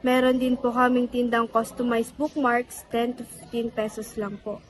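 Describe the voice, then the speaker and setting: A pleading young Filipino street vendor voiced by a woman in a radio drama. She is high and monotone, politely selling, with faint noise. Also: normal speed, natural voice, poor audio. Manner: polite sales pitch. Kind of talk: amateur radio drama acting.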